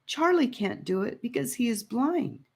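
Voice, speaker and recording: A woman's voice: on the high side, sing-song, normal speed, vocal fry, darkish tone, slightly rough, voice pushed up, heavily acted. A woman voicing an anxious young girl adventurer in an audiobook, pleading and emotional.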